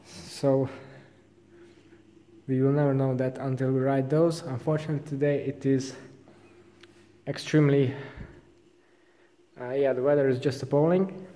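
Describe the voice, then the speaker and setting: A young man in a casual vlog, explaining his bike gear in a relaxed, informal way. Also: medium pitch, measured pace, long pauses, long reverb tail. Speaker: vlogger. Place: large indoor bike workshop.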